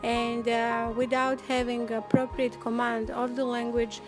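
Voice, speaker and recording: A woman from the former Yugoslavia whose first language is Serbian, giving formal, measured interview answers with frequent pauses. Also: on the high side, level tone, music in the background.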